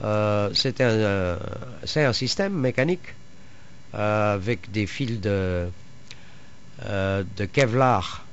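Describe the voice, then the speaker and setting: A man chatting casually as an amateur radio operator, explaining technical things in a rambling, halting way with trailing asides. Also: fairly low pitch, expressive. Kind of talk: casual radio contact chat about home-built antennas. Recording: dull lo-fi radio audio, faint noise.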